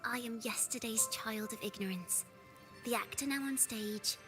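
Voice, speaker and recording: A woman's voice: on the high side, moderate pace, natural voice. A woman voicing a young female performer in a video game, telling her past in a solemn storytelling manner. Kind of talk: game voice acting. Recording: game audio with music behind.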